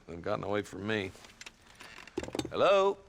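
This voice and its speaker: An actor playing a weary, sardonic older Western marshal in a game cutscene, with a folksy American Southern accent. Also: male voice, on the low side, very animated, slow pace, exaggerated voice. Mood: bemused, weary. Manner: dry, sarcastic, folksy. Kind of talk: video game cutscene acting. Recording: clear background noise.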